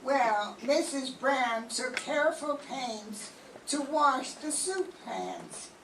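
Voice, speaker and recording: A calm, methodical police sergeant who lays things out slowly, played by an older woman in a radio class. The voice is a little high. It's echoey and distant, with faint noise behind. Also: heavily acted. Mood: explaining. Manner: slow, deliberate explaining. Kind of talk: 1940s radio acting.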